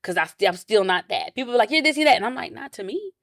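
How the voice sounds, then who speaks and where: A deep-voiced woman, speaking in a casual interview. She sounds animated and reflective, often restarting her sentences. The recording is dry and close, with no room sound. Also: fast talker, vocal fry, no echo. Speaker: interview guest.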